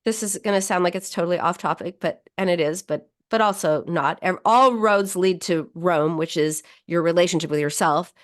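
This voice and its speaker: A woman hosts a weekly psychology radio show, talking listeners through advice in an easy monologue. Her voice is slightly hoarse. She often pauses on fillers and restarts her sentences. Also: on the low side. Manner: warm advice-giving with frequent fillers and restarts.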